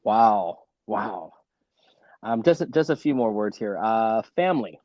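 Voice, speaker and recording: A man's voice: on the low side, lively intonation, slow, a little mellow, no room sound. Male interviewer. Short casual prompts and reactions, close dry sound, slightly lo-fi. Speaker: podcast host, interviewer. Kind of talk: casual word-association interview.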